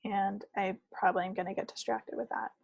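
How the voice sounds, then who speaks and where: A woman's voice: mid-range voice, steady tone, fairly quick, gravelly, dry recording, muffled recording. A woman chatting casually as co-host of a hobby podcast. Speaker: co-host of a knitting video podcast. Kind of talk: casual chat.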